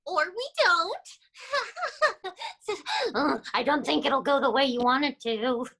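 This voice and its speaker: Woman doing a high, sharp little bird. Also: animated, takes it slowly, heavily acted. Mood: bossy. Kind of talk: comedy radio table read.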